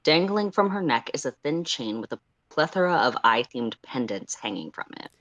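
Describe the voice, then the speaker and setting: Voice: medium pitch, sing-song, moderate pace, fairly bright tone, dull audio. A person of unclear sex narrating fan fiction and chatting casually as a stream co-host.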